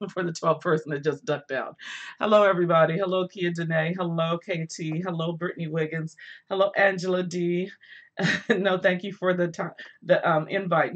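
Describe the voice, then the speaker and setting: A woman hosting a live book chat from home on her phone, chatty and quick to laugh, with a voice that is going hoarse. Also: fairly low pitch. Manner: chatty, laughs often, rambling asides. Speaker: book vlogger hosting a livestream. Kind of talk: casual live chat with viewers.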